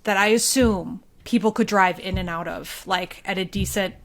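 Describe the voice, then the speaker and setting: Informal woman thinking out loud on a podcast. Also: medium pitch, normal speed. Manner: informal, hedging, thinking aloud. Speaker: podcast co-host. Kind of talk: casual conversational podcast discussion.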